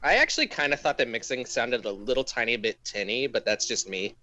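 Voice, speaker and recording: A man's voice: medium pitch, a little fast, sharp tone. A man co-hosting a podcast, chatting casually as he gives his opinions. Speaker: podcast co-host reviewing music. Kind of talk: casual chat. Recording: slightly dull lo-fi audio.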